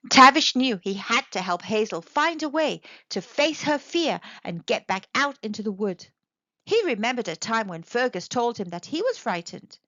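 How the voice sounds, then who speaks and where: A woman narrates a children's story in an expressive read-aloud style. Her voice sits fairly high and swoops with lively intonation. The recording is dry and slightly dull. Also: moderate pace, dry recording.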